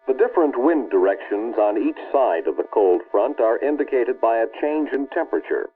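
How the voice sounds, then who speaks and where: A male American narrator with a slightly husky, mid-pitched voice delivers formal, measured instruction in the manner of a 1960s military training film. The soundtrack is old and muffled, with faint music behind him. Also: very animated.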